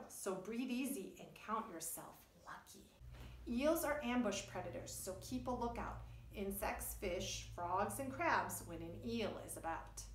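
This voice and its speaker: A middle-aged woman, a preschool teacher reading aloud and explaining things to young children in an animated way. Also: on the high side, slight background noise. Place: indoors, wood-panelled room by a puppet stage. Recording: roomy echo, distant microphone.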